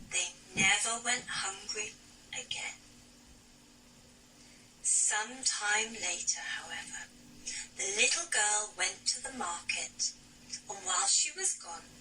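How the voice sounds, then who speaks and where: A woman storyteller narrates a children's fairy tale in a very bright, lively voice. Her phrasing is slow and theatrical. She sounds slightly distant from the mic, with music behind her. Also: on the high side, a little hiss or hum. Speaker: puppet show storyteller.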